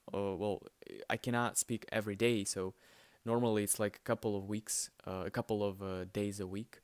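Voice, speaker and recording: A man's voice: lowish voice, clear, resonant tone, no room sound. A young man, a native Russian speaker with a light Russian accent, answering viewer questions in a casual, hesitant way with many fillers and restarts. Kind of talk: casual spoken Q&A.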